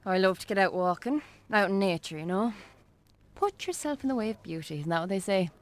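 A good-humoured Irish woman played by an actress chats on a date in a radio drama. Her pitch is mid-range. She sounds curious and gently playful, with an easy conversational manner. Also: lively intonation, a little fast, close to an everyday voice. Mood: warm, sympathetic. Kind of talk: naturalistic radio drama acting.

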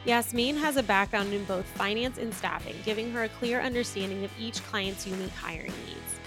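A woman's voice: medium pitch, fairly quick, music in the background. A woman hosting a podcast, reading a scripted intro and then chatting upbeat with her guest. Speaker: podcast host.

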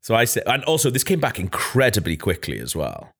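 A man's voice: fairly low pitch, wildly swooping pitch, fairly quick, gravelly, a bit bright, slightly rough. A man hosting a casual podcast, telling a story with swearing and mocking voices for the people he quotes. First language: Welsh. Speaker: podcast host.

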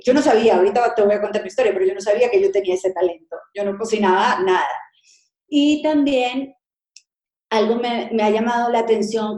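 A middle-aged woman born in Colombia, a cake designer, telling her story in a lively conversational way and acting out little dialogues. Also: mid-range voice, reverberant, rough recording. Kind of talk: conversational interview.

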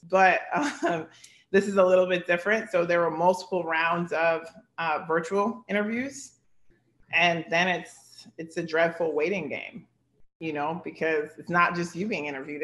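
A woman's voice: on the low side, roomy sound. A woman in her thirties, a basketball coach, giving earnest, heartfelt interview answers full of "like" and "you know". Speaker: basketball head coach, guest.

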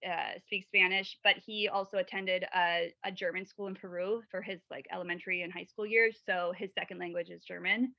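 A woman's voice: lowish voice, fairly even intonation, fairly quick, creaky, few pauses, dry recording. A woman from Wisconsin, a podcast guest and mother of three, talking at length in a casual interview, rambling with many fillers and restarts. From Wisconsin, USA. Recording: dull lo-fi sound.

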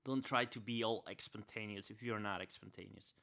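A young man with a Spanish-tinged accent hosts a podcast. His voice sits low yet rings bright and forceful. He talks with energy, repeating his main points and checking in with "right?". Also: moderate pace, gravelly. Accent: Spanish-speaker's accent. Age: young adult. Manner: energetic, repeats key phrases, says "right?" often. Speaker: podcast host. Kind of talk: podcast interview and solo wrap-up.

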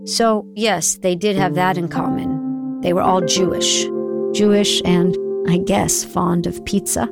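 A woman with a lowish, gravelly voice narrates a documentary story. She speaks quietly and reflectively, and loud music runs beneath her. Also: steady tone, a little slow. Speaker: journalist narrator. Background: music bed.

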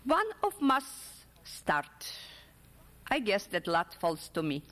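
A woman's voice: mid-range voice, very animated, slow pace, a bit bright. A woman voicing an earnest, hesitant older man in love, in a literary story reading. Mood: anxious, confessing. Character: silver-haired widowed older man, earnest, hesitant suitor. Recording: echoey hall with long reverb.

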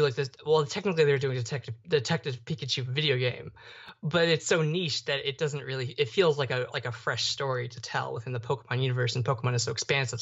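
A man's voice: on the high side, a bit bright. A young man co-hosting a casual podcast, rambling and often restarting his thoughts midway. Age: young adult. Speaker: podcast co-host. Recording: slightly dull, muffled audio.

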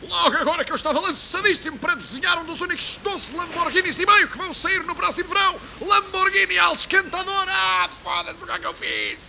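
A man playing a boastful, foul-mouthed immigrant factory worker in a comedy sketch, excitable and bombastic, with a European Portuguese accent. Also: very high voice, a little fast, vocal fry, hardly any pauses, slight background noise, heavily acted. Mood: nervous, indignant. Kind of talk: exaggerated comedy sketch acting.

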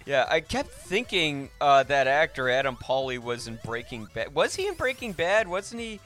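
A man's voice: medium pitch, sing-song, moderate pace, a bit bright. A man hosting a podcast, talking casually about films in a rambling, thinking-aloud way. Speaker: podcast host. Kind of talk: casual film discussion.

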